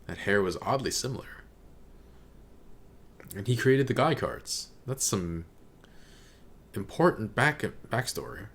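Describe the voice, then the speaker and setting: A man giving casual, unscripted commentary as a reaction video host, halting as he thinks aloud. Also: lowish voice, very animated, creaky, husky.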